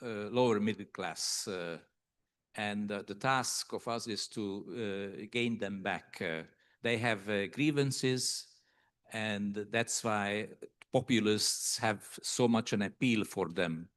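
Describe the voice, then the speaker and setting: A man from Hungary speaking as a panelist at a conference, with a halting delivery full of hesitations. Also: fairly low pitch, fairly bright tone, no echo, clean studio sound. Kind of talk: panel discussion remarks. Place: conference hall.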